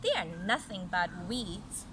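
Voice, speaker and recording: A woman's voice: medium pitch, measured pace, noisy background, pitched below the performer's own voice, lightly acted. A woman voicing a haughty, scornful apple branch in a storybook, with disdainful, emphatic stress.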